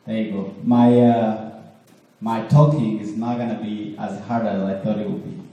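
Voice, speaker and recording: A man from Rwanda in his thirties, speaking haltingly with many fillers as guest speaker to a church class and asking the audience questions. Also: fairly low pitch, fairly even intonation, warm tone. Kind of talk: testimony talk to a church class. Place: church hall. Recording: echoey hall from a distance.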